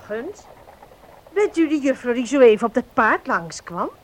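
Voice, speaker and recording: A chatty middle-aged mother with a high, lively voice. She is curious and hospitable, in a radio drama recording with slight noise. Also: measured pace. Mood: curious, welcoming. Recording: noisy old radio play recording.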